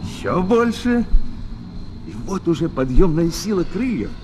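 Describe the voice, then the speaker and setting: A man's voice: high-pitched, big pitch swings, a little slow, background noise. A man playing a friendly, encouraging airplane pilot in a vintage children's audio play, patiently guiding a child. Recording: vintage 1970s flexi-disc with music.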